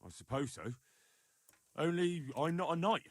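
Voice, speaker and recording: A man's voice: a little high, big pitch swings, a little slow, no room sound. A man playing a confident, persuasive young medieval man in a video game, talking matter-of-factly. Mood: dry, coaxing. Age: young adult. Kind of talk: video game dialogue acting.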